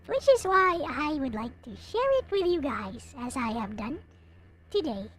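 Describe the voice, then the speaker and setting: A woman's voice: sits high, sing-song, measured pace, a bit bright. A woman livestreamer chatting casually to her viewers in a hesitant, rambling way. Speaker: live streamer, host.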